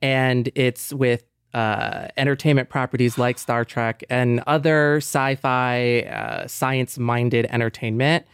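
A man's voice: mid-range voice, animated, slow pace, hardly any pauses, fairly bright tone, clean tone, no echo, clean studio sound. A middle-aged man co-hosting a podcast in a casual conversational style. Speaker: podcast co-host.

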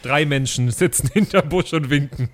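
A man's voice: a little high, normal speed. A man chatting casually as a player in an improvised tabletop role-play show.

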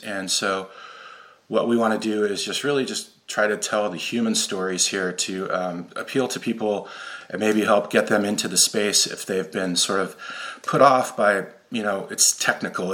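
A man's voice: lowish voice, a bit bright. A man hosting a podcast, talking earnestly and enthusiastically, with frequent ums and uhs. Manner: earnest, enthusiastic, filler-heavy. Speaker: podcast host. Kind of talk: casual podcast interview.

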